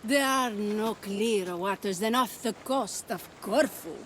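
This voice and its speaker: A woman's voice: medium pitch, unhurried, natural voice. An actress playing a cheery ancient boat woman selling passage in a video game. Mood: enticing. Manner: salesy, lilting, enticing. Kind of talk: video game cutscene acting. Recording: background ambience noise.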